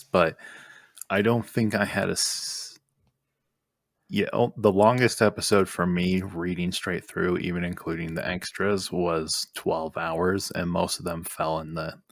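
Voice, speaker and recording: A man's voice: deep voice, vocal fry, dry recording, clean studio sound. A man explaining things in casual conversation as a podcast co-host.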